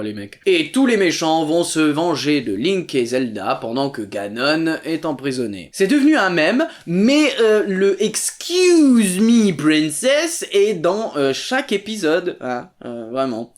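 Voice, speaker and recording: A man with a fairly high voice delivers sarcastic review commentary. He is exasperated, crude and slangy, with faint music behind him. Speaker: video reviewer and host.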